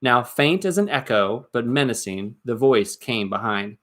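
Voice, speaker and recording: A man reading a story aloud as dramatic narration, expressive and suspenseful, with deliberate emphasis. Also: mid-range voice. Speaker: storybook reader.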